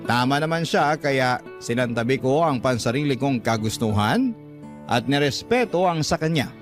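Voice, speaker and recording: A man's voice: mid-range voice, natural voice. Actor as earnest man narrating over music. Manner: earnest, reflective. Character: devoted man recounting his love story as letter writer. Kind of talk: first-person scripted radio drama narration.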